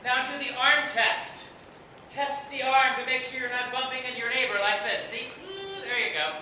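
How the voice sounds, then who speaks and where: A man with a high-pitched voice hosts a children's school play. He speaks informally and encouragingly, like a director guiding young performers step by step. The recording is very echoey and distant, with clear background noise. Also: moderate pace, sharp tone. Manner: encouraging, playful, gives simple step-by-step instructions to children. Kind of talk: informal live announcing, coaching young children on stage. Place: large echoey room before an audience.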